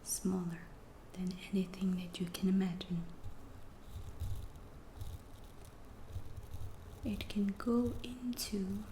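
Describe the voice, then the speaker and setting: Woman acting as female alien abductor, hushed ASMR whisper, very slow, soft mellow tone, calm and curious, clinical. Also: mid-range voice, reverberant, a little hiss or hum, natural voice.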